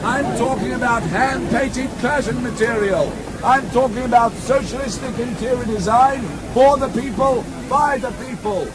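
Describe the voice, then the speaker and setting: A man's voice: very high-pitched, normal speed, rough recording. A man playing a bombastic Victorian socialist preaching about handmade furniture in a comedy radio play. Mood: ranting. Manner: bombastic, speechifying. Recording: radio taped to cassette, loud crowd and noise.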